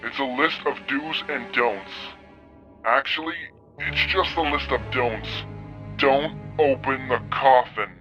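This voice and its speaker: A man acting an anxious robot sending a desperate, halting warning message in an animated sci-fi story. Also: medium pitch, over music, natural voice. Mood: anxious, urgent.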